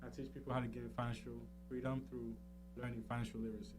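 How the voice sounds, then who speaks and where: A man's voice: mid-range voice, level tone, rapid-fire, rough recording. Casual man in his thirties over guitar music. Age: 30s. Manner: informal, affirming, frequent "you know" and "um". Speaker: interview guest, entrepreneur and financial educator. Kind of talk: casual talk, explaining his services. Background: plucked guitar music.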